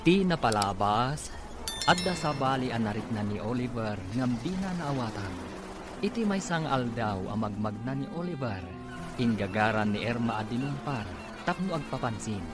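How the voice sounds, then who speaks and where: A man's voice: medium pitch, expressive, normal speed. A man narrating a scripted radio drama in a theatrical announcer's manner. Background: background music.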